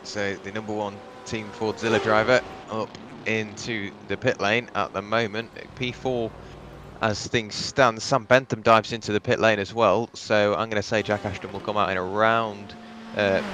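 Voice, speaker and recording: A man with a fairly low voice co-commentates a live sim race. He walks through the positions and pit stops in a steady, analytical way. Faint background noise sits under his voice.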